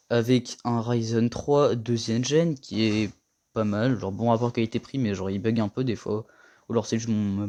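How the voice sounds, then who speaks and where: Rambling young man with a mellow voice. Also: mid-range voice. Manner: rambling, slangy and self-mocking. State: slightly hoarse from a cold. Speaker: gaming vlogger. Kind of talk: casual setup tour.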